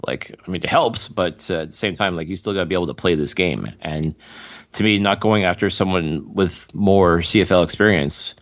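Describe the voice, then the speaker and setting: A man with a very low voice co-hosts a casual sports show. He lays out opinionated, analytical takes at length. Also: moderate pace, dull audio. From Montreal. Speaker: podcast co-host.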